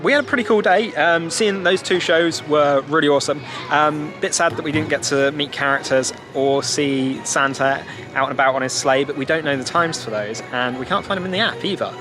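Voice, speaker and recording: Young British man, higher-pitched voice, music behind. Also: brisk. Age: young adult. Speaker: theme park vlogger. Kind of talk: casual vlog chat to camera. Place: outdoors in a theme park. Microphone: camera at arm's length. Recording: outdoor vlog with music behind.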